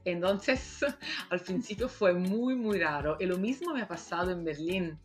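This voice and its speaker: A woman who learned Spanish as a second language, talking casually as a doctoral researcher and pausing often with fillers. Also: lowish voice, animated, soft background music. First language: not Spanish. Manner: hesitant, many fillers and restarts. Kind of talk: casual conversation.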